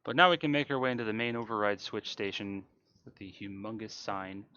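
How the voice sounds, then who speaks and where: A man giving casual, matter-of-fact speedrun commentary, explaining his moves over loud game vehicle noise. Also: on the low side, steady tone, a little fast, highs cut off.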